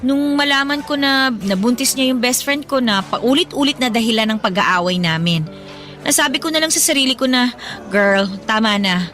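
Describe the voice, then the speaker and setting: An actress playing a feisty, bubbly young office worker on a date in a Filipino audio drama, speaking expressively with a Filipino accent. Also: female voice, fairly high pitch, normal speed, faint music behind. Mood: wry, confiding. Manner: playful, sassy, expressive. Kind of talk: Tagalog audio drama dialogue.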